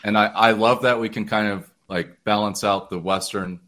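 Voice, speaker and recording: A man's voice: lowish voice, steady tone, normal speed, fairly bright tone. An American man hosting a podcast interview, introducing his guest in a loose, rambling way with many fillers and restarts. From United States. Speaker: podcast host.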